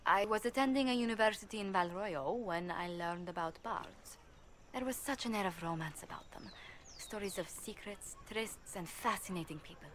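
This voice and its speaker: A woman's voice: medium pitch, normal speed, close to an everyday voice. Poised, courteous noblewoman played by an actress, faint noise. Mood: wistful. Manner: polite, formal, carefully worded. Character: poised, courteous noblewoman ambassador, former bard, gentle and earnest. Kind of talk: video game cutscene acting.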